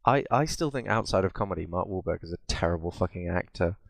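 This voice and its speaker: A man's voice: low voice, expressive, fast, vocal fry, no echo. A man chatting casually as a podcast co-host, opinionated and informal, with plenty of swearing. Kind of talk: casual chat about films and games.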